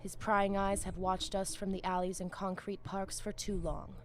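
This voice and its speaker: A woman's voice: mid-range voice, little pitch movement, moderate pace, close to an everyday voice. Menacing vampire priestess played by a woman, Spanish accent, solemn and commanding game dialogue over noticeable background noise. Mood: contemptuous, commanding. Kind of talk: video game dramatic dialogue.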